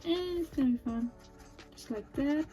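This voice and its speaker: A young woman with a Persian accent chatting softly while she draws, thinking aloud as she goes. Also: high-pitched, normal speed. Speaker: drawing video creator. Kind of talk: casual chat while drawing. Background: faint music and marker scratching.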